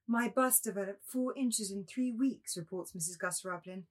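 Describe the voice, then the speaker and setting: A woman acting an ethereal, primly reproachful young nymph in a dramatised novel reading, with a husky voice. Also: mid-range voice, moderate pace, natural voice. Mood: sad reproach.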